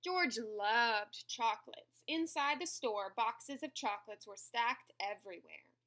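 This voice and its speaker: A woman reading a children's picture book aloud in an expressive, animated way, pausing over phrases for young listeners. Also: fairly high pitch. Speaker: storybook reader.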